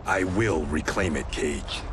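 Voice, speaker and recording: A man's voice: rumbling low, takes it slowly, background noise. An actor playing a stern, earnest swordsman in a video game, serious, terse and principled. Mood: exasperated. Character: stern, earnest male swordsman, ex-gangster seeking redemption. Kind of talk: video game cutscene acting. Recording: loud music bed behind the voice.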